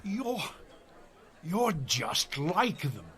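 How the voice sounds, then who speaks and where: An actor playing a stubborn, earnest dwarf warrior in a comedy radio play, speaking in a declamatory storyteller's way. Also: male voice, high voice, very animated, drawn-out words, a bit bright, full character voice. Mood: solemn, passionate. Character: gruff, battle-hungry male dwarf warrior, stubborn and earnest.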